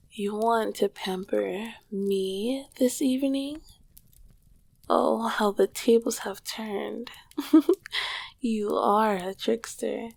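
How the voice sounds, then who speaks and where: Woman playing a breathy, whispering, regal succubus queen. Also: mid-range voice, darkish tone, heavily acted. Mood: gentle, affectionate. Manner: regal, old-fashioned, affectionate phrasing. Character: ancient succubus queen of Hell, tender, regal, flirtatious lover. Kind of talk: whispered ASMR roleplay.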